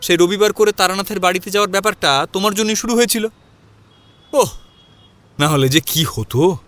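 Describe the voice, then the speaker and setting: A man's voice: high voice, fairly quick, fairly bright tone, long reverb tail. A man narrating a scripted audio story in the first person, dramatising it as he goes.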